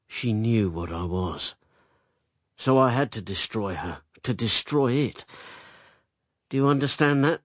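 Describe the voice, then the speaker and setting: A low, unhurried male voice, close and very dry. He is a coarse, sardonic rival in love, bitter and blunt, in a radio play. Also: expressive, no echo. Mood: bitter, confessional. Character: coarse, bitter male rival and lover, self-styled common man. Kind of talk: radio drama acting.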